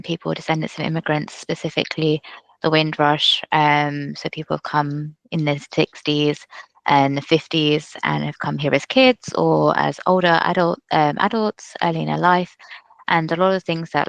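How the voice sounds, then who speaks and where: A panelist and charity founder, giving an earnest, halting webinar answer over a video call. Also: medium pitch, vocal fry, a bit bright, no echo, poor audio. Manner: earnest, halting with many fillers.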